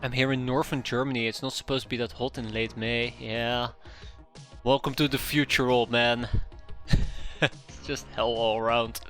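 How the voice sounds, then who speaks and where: A man's voice: mid-range voice, flat delivery, normal speed, fairly bright tone, soft background music. A man with a Dutch accent streaming a strategy game from home, chatting casually with viewers and often correcting himself. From Netherlands. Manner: rambling, self-correcting, chuckling asides. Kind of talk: casual game commentary to chat. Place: home room.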